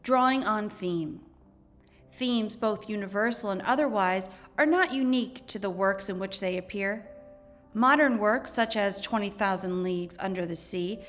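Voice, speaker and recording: A woman with a slightly high-pitched voice reads lesson material aloud as a teacher would. Her delivery is measured and instructional. Music plays behind her narration. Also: a bit bright. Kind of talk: educational read-aloud narration.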